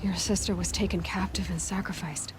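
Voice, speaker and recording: A woman's voice: on the low side, steady tone, a little fast, background noise, close to an everyday voice. A young woman playing a frank, inquisitive outcast huntress in a cinematic video game, direct and questioning. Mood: curious. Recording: faint music behind.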